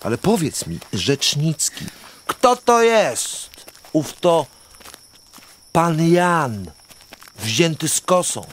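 An actor playing a florid, melancholy romantic count of fifty in a verse radio drama, speaking haltingly and poetically. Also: male voice, fairly high pitch, very animated, slight background noise, exaggerated voice. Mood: intrigued.

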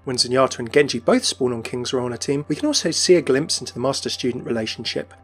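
A man's voice: mid-range voice, fast talker, husky. A man presenting scripted narration as a video host, in an explanatory style. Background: background music.

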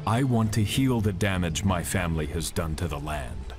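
A man's voice: deep voice, animated, moderate pace, over music. A man playing an earnest, resolute warrior heir in a video game, sincere and determined. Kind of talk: video game cutscene acting.

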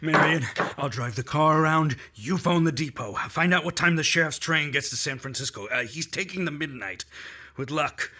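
A man playing a cold, scheming killer in an old-time radio mystery, speaking with slow, low menace. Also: bright, forceful tone. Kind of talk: 1950s-style radio drama acting.

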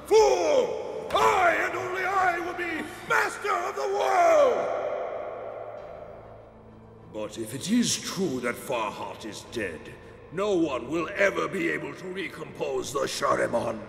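An actor voicing an imperious, hot-tempered ancient demon in a cartoon, haughty and menacing, with formal speech. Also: male voice, high-pitched, sing-song, slow pace, creaky, fairly bright tone, soft background music, exaggerated voice. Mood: furious, menacing. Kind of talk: cartoon villain acting. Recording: echoing cavernous hall reverb.

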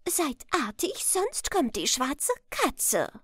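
Woman voicing a high-pitched, brisk storybook mouse. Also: huge pitch swings, fairly bright tone, dry recording, studio quality, heavily acted. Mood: scolding. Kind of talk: storybook character voice.

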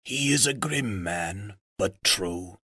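A man voicing a decrepit, wise old raven chief in a storybook, croaking out solemn, formal counsel. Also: fairly low pitch, lively intonation, sharp tone, no room sound, clean studio sound, full character voice. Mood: grave, weary. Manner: slow, formal, archaic counsel.

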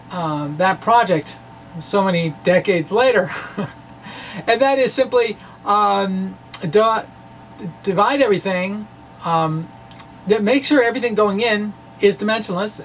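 A middle-aged man explaining physics informally to camera in a halting, rambling, quirky and jokey manner. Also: high voice, a bit bright, poor audio. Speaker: science blogger and presenter. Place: small indoor room with bookshelves. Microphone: microphone on a stand in front of him. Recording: clear background room noise.

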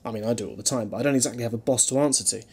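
A man playing a flippant, protective alien time traveller in a fan audio drama, with a husky voice and wry, bantering delivery. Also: medium pitch, racing, a little mellow. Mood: stern, defiant.

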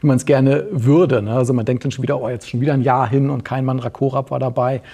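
Husky, bright-voiced middle-aged man chatting. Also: medium pitch, fairly even intonation, fast talker. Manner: informal, explains with frequent tag questions. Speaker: guest author. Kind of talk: casual explanatory chat. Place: small indoor talk studio.

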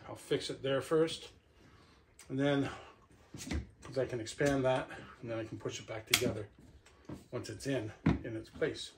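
Male, middle-aged, mid-pitched voice, casual product reviewer thinking aloud as he works; some background noise and clicks. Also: steady tone, a little slow, pauses often. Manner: talks to himself while handling gear. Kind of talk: casual unboxing and hands-on review. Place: indoor living room.

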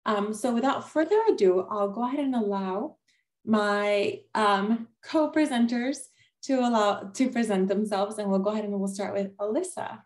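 A woman's voice: mid-range voice, normal speed. A woman admissions officer introduces a webinar over a video call. She talks in a friendly, organized way, with frequent "um" fillers between points. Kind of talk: scripted webinar hosting.

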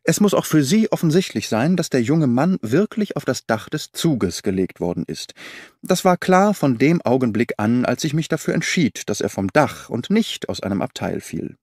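A man voicing a brisk, confident detective who explains his reasoning eagerly, in an audiobook reading. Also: breathy edge, no echo, studio quality. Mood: eager explaining. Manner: animated, briskly explaining, lifted pitch.

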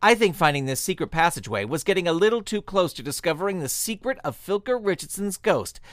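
An actor playing an earnest, plucky young amateur detective in a comic radio mystery, speaking emphatically. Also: male voice, high voice, big pitch swings, quick pace, fairly bright tone, no room sound, clean studio sound. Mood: urgent, determined.

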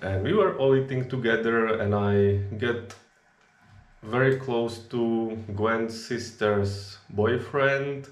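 A young man from the Czech Republic, a native Czech speaker, chatting in relaxed non-native English as a vlogger, recorded on a camera at arm's length in a small kitchen. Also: medium pitch, slow, echoey room. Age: young adult. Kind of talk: casual chat.

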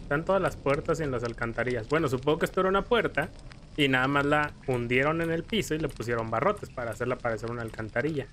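A man's voice: mid-range voice, quick pace, fairly bright tone, slight background noise. A man casually commenting while he plays a video game, thinking aloud and using lots of diminutives. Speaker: gaming YouTuber. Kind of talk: casual let's play commentary. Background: game music.

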